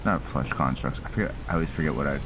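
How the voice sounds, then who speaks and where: A man's voice: very deep voice, quick pace. A man giving casual video game playthrough commentary, musing and thinking aloud as he roleplays. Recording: clear game noise behind voice.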